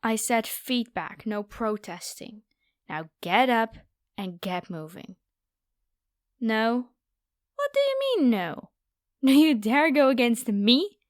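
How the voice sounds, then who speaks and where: Haughty, high-voiced predatory vampire girl, acted by a woman. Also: sing-song, slow pace, long pauses, no room sound, studio quality. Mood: imperious. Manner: taunting, theatrical, playful. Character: haughty, predatory noble vampire girl hunting human prey. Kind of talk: ASMR roleplay acting.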